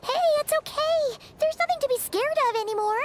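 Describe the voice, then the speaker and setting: A woman's voice: lively intonation, brisk, a little hiss or hum. A woman voicing a small, childlike fairy companion in a video game, chirpy, curious and earnest. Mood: worried. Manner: refers to self in third person, squeaky and earnest. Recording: music playing behind.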